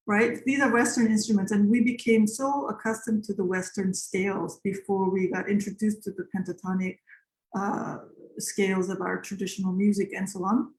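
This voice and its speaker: A Korean-born woman composer in her forties, a native Korean speaker, answering interview questions in a reflective way with many pauses and fillers. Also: mid-range voice, darkish tone, roomy sound, rough recording.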